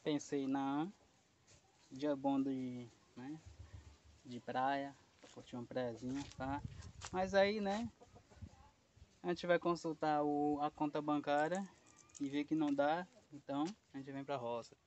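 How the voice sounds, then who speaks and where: A man's voice: a little high, steady tone, a little slow, long pauses, a little mellow, muffled recording, a little hiss or hum. A man in his thirties vlogging casually outdoors on a lapel mic, chatty and rambling as he talks straight to his viewers. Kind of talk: casual vlog chat. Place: outdoors in a rural yard and fields.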